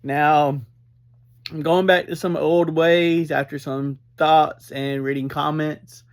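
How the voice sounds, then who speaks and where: Male review host, higher-pitched voice, unhurried and halting, emphatic, upbeat, prone to tangents. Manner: halting, easily sidetracked, emphatic; upbeat, energetic. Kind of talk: casual rambling episode review.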